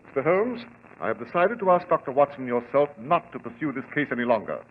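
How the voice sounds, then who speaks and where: Man acting an anxious lord, animated delivery. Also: medium pitch, quick pace, background noise, lightly acted. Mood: grave, uneasy. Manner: formal, agitated. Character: flustered, anxious English lord. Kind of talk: 1940s radio acting. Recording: tinny old radio recording, music behind.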